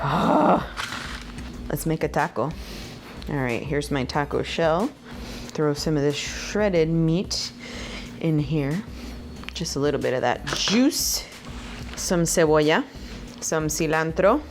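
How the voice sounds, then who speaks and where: A woman's voice: low-pitched, expressive, slow, pauses often, slight background noise. A woman in her thirties whose first language is Spanish, chatting playfully to viewers as she cooks in her home kitchen. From Puerto Rican and Mexican heritage. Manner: chatty, playful, laughs often, talks to viewers. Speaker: cooking vlogger. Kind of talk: casual cooking demo to camera.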